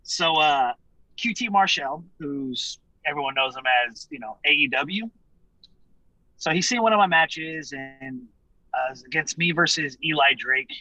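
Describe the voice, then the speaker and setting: A man's voice: a little high, expressive, unhurried, pushed and bright, no room sound, poor audio. A man in his late thirties, a wrestler guest on a podcast, chatting casually and laughing as he tells stories. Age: 30s. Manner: laid-back, laughing, profane. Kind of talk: casual chat.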